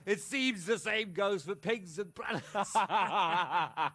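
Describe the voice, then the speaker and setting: Jovial noble's drinking pal, game drama. Bright, slow, mocking. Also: male voice, high voice, heavily acted. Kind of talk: cinematic game acting.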